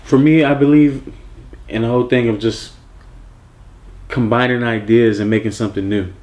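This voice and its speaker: A man from Nigeria, raised in the United States, telling his personal story as a clothing brand founder, reflective and relaxed with frequent fillers and restarts. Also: medium pitch, normal speed.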